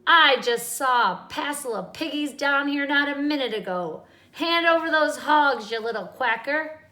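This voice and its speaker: Lady doing a shrill greedy witch, yelling insults, bright voice in an echoey room. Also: animated, slow pace, heavily acted. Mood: bossy, screeching. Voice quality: screechy. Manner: shouting insults. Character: greedy, bossy, screeching witch woman. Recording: roomy echo.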